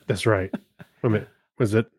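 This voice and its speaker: A man's voice: on the low side, steady tone, brisk, a little mellow, breathy edge, no room sound, studio quality. A man co-hosting a podcast in a casual, easygoing, conversational way. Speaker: podcast co-host. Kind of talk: casual chat.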